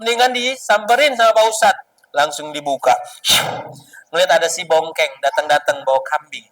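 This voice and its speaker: A man's voice: fairly high pitch, normal speed, a bit bright, distant mic, poor audio. A man telling stories to children as an Islamic storyteller, teasing and explaining as he goes.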